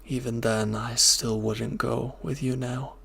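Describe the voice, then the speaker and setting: A man playing a reformed villain turned devoted lover in an intimate audio drama, speaking softly, gently and hesitantly with a breathy voice. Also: medium pitch, flat delivery, slow, exaggerated voice. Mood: earnest, guarded.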